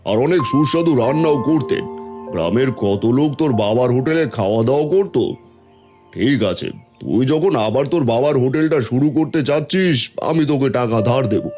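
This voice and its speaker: A man voicing a kindly, authoritative village landlord, measured and paternal, in a cartoon story. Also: medium pitch, warm tone, lightly acted. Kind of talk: cartoon moral-story voice acting.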